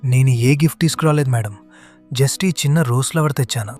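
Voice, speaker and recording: A man's voice: mid-range voice, fairly quick, warm tone, soft background music, natural voice. A man playing a polite, caring, modest young man in a cartoon story. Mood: bashful.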